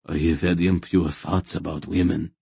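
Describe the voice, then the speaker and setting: A man's voice: sits low, flat delivery, moderate pace, creaky, warm tone, husky, no room sound, full character voice. A man voicing a fervent, guilt-ridden religious fanatic in an audiobook, intoning and pleading. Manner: intoning, groaning, beseeching.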